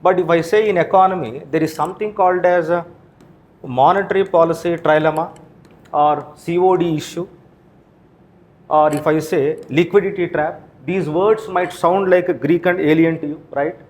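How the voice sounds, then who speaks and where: A man's voice: fairly high pitch, fairly even intonation, moderate pace, a little mellow. A man with an Indian accent lecturing to exam students as a coaching teacher, posing questions and answering them himself. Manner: frequent tag questions like "right?" and "okay?".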